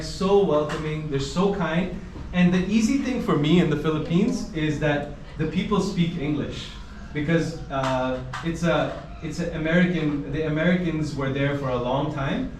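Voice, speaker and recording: A travel vlogger talking to a class of students in a school, animated and laughing often, using simple clear wording. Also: male voice, fairly high pitch, moderate pace, slight background noise. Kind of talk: casual talk to a class. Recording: echoey room, from a distance.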